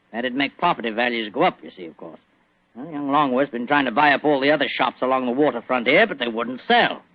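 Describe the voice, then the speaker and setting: An actor playing a shifty working-class shopkeeper, matey and confiding, in 1940s radio style. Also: male voice, on the high side, no room sound. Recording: dull, dry old radio recording.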